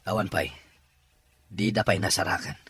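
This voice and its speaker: A man's voice: low voice, normal speed, a bit bright, husky, no echo, full character voice. A man playing a guarded, troubled young man in an audio drama. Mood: guarded, irritated. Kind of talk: amateur audio drama acting.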